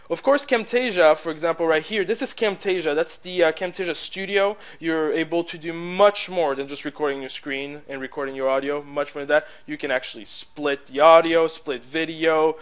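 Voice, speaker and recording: A man with a French accent talks through a screen-recording tutorial in a loose, rambling way, full of "uh" and "blah, blah, blah". His voice sits fairly high and sounds smooth and clear. It comes through a headset mic with slightly dull, lo-fi sound. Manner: rambling, filler-heavy, repeats "blah, blah, blah". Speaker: tutorial presenter. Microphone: plug-in headset mic.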